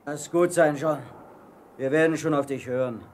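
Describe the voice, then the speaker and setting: Radio play: male actor as impatient, griping pirate sailor, highish voice, faint noise behind. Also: moderate pace, lightly acted. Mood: placating. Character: grumbling, impatient pirate crewman.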